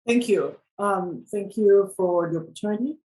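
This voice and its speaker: A woman from Peru, a journalist speaking on a panel in a measured way with some fillers. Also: mid-range voice, a little mellow, rough recording. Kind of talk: panel presentation.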